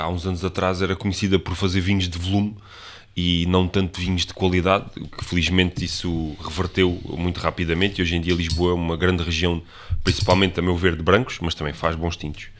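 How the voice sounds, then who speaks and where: A man's voice: low-pitched, fairly quick. A man, a podcast co-host explaining things in a casual chat. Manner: explanatory, flat, knowledgeable about wine.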